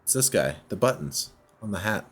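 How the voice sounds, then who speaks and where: A man's voice: on the low side, expressive, moderate pace, husky. A man giving casual let's play commentary, thinking aloud and often questioning himself as he goes. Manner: musing, self-questioning. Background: background music.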